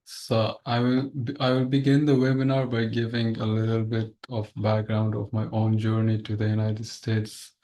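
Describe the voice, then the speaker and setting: A man's voice: on the low side, monotone, normal speed, hardly any pauses, mellow. A young man from Afghanistan giving an earnest webinar talk over a video call, often restarting his words. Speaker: guest speaker.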